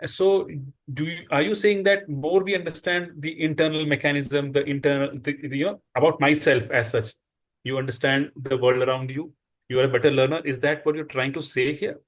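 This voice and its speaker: A man hosting an interview, asking questions haltingly while he searches for words. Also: fairly high pitch, fairly even intonation. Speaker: interview host.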